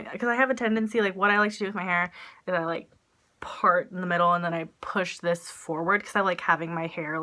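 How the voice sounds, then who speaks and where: A woman's voice: mid-range voice, bright, forceful tone. A young woman in her twenties vlogging casually at home with a stuffy, congested nose, rambling with lots of fillers into a phone held at arm's length. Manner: rambling, self-deprecating, lots of "like" and "um". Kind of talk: casual chatty vlog. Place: small room indoors. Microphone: phone camera at arm's length.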